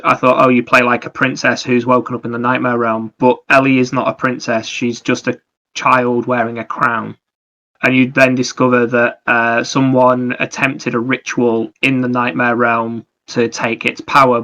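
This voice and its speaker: Male podcast co-host, forceful bright tone, fairly flat intonation, wordy explainer in casual review mode. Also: mid-range voice, normal speed, lo-fi sound. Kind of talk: casual video game review chat.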